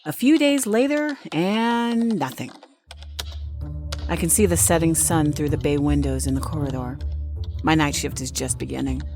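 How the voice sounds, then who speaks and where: Woman playing a low-voiced vengeful nurse in audio drama. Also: normal speed, music in the background. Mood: quietly menacing. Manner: theatrical, swinging between cooing reassurance and private contempt. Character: vengeful nurse, sweetly soothing outside, cold and bitter within. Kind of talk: first-person audio drama narration with acted dialogue.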